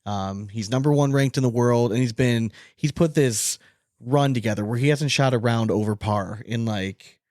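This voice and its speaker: A man's voice: medium pitch, moderate pace, darkish tone, no echo, clean studio sound. A man hosting a sports podcast in casual conversation, asking long, rambling questions. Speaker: podcast host.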